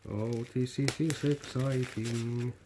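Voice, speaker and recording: A Finnish man vlogging a toy unboxing in halting non-native English, hesitant and repeating his words. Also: mid-range voice, fairly even intonation, background noise. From Finland. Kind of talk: casual unboxing commentary.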